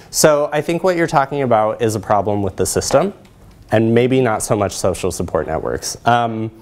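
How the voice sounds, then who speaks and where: A man in his thirties giving a research presentation in a lecture hall, explaining in a measured way. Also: mid-range voice, a little mellow.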